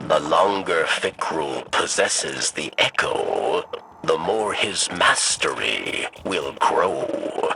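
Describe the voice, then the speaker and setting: Very deep, gravelly alien sage. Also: male voice, big pitch swings, bright, forceful tone, a little hiss or hum, heavily acted, poor audio. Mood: wry, musing. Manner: measured, cryptic, sardonic. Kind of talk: video game mission dialogue.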